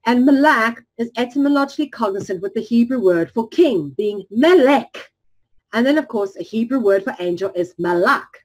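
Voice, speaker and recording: A woman giving a lecture-style presentation, talking in a measured way with frequent ums and restarts, drawing out key words slowly. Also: on the high side, animated.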